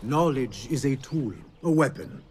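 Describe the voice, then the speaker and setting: An actor playing a guarded, determined young assassin in dramatic video game dialogue. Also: male voice, mid-range voice, animated, unhurried, a little mellow, slight background noise, natural voice. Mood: grave. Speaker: game protagonist. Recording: music behind the voice.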